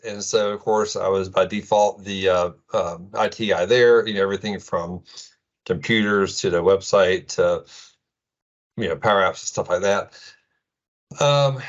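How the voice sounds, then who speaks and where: A middle-aged man with an American accent giving an informal tech presentation in a casual, halting way full of ums. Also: on the low side, highs cut off. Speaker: presenter, IT administrator.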